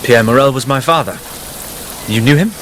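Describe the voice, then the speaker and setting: A man acting a reserved, polite young gentleman in a radio drama, formal, clipped and guarded. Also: medium pitch, normal speed, a little hiss or hum, close to an everyday voice.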